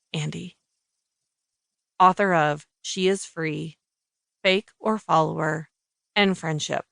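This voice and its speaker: A woman's voice: fairly low pitch, vocal fry, no room sound, studio quality. A woman reading scripted audiobook narration.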